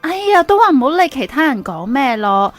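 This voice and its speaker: A woman's voice: sits high, sing-song, brisk. A woman acting in a Cantonese radio drama as a conflicted 24-year-old teacher, with a Hong Kong accent. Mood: defensive, indignant. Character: 24-year-old female teacher, engaged, conflicted, secretly involved with student.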